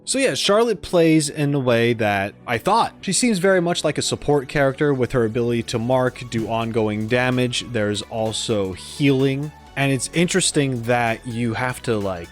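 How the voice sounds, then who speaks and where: A man hosting a gaming reaction video, giving casual, informal and expressive commentary. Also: mid-range voice, moderate pace, few pauses. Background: music under the voice.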